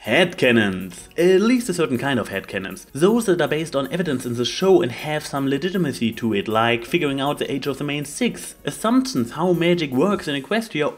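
A man hosting a YouTube video, delivering scripted opinion commentary. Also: a little high. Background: music.

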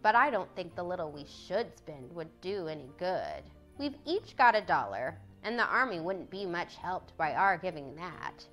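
A woman's voice: on the low side, very animated, normal speed, few pauses, fairly bright tone, soft background music, pitched below the performer's own voice. Woman voicing Jo, a brash tomboy teenage girl. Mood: eager. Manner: emphatic, defiant.

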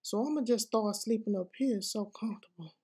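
A woman's voice: medium pitch, fairly quick, mellow, breathy edge. A woman voicing a sassy, fed-up house cat in a comedic animal voiceover, slangy and scolding.

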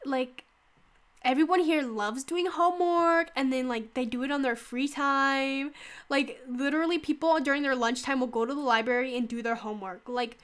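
A woman's voice: high voice, moderate pace, fairly bright tone. A teenage girl, stuffy from a cold, chatting casually while playing, rambling with lots of "like". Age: teens. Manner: rambling, lots of "like", yelps and laughs during play. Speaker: gaming YouTuber. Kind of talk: casual gameplay chat.

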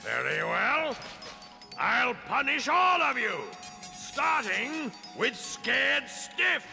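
Casting: undead robotic tyrant for animation, piercingly bright and extremely gravelly, furious, commanding, drawn-out delivery. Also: male voice, very high-pitched, sing-song, full character voice. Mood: menacing, angry. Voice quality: gravelly, sharp. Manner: slow, menacing, barking commands. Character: bellowing skeletal robotic ghost overlord, furious and domineering. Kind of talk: cartoon villain acting. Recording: long hall reverb, faint music.

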